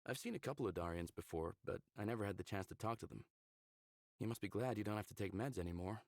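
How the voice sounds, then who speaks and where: A man's voice: lowish voice, quick pace, no room sound, clean studio sound, pitched below the performer's own voice, close to an everyday voice. A man voicing a curious, laid-back male space traveler in a visual novel, with casual, slangy phrasing.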